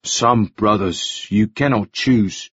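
A man doing a quiet, taciturn man. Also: fairly low pitch, husky, no echo, heavily acted. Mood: grave. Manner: slow, spare, deliberate. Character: reserved, taciturn Maori man, quiet and measured. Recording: dull, slightly muffled dry recording.

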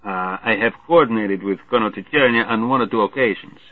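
A quiet, guarded man, as the narrator plays him, kept low and close to the reader's own voice. The sound is thin and tinny like a phone call, and dry. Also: fast, dry recording, natural voice.

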